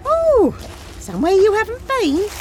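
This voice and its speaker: An actress playing an outspoken, teasing young space traveller in an audio drama, with a wry manner. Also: female voice, very high voice, huge pitch swings, a little slow, background noise, full character voice.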